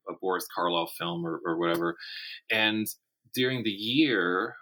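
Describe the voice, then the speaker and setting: An American man in his fifties answering interview questions conversationally, thinking aloud in a candid, animated way. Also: low-pitched, low-quality recording. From South Carolina, United States. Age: 50s. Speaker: interview guest, horror writer and teacher.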